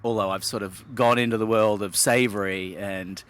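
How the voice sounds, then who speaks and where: A man's voice: on the low side, fairly even intonation, moderate pace, a bit bright. A middle-aged man from Sydney, Australia, a wine expert guest, speaking in articulate, wordy sentences with hesitant fillers. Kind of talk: relaxed interview talk.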